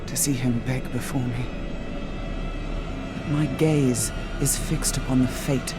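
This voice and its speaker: An enigmatic female spirit of dark power in a fantasy game. She is cold, seductive and mocking, drawing out each cryptic phrase slowly over very loud music. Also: extremely low pitch, fairly even intonation. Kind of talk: dramatic video game cutscene acting.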